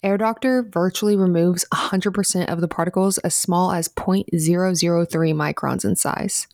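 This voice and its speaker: Podcast lady doing a chatty ad read, lowish voice, dry close recording. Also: steady tone, moderate pace, darkish tone, dry recording. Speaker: podcast co-host reading sponsor spot.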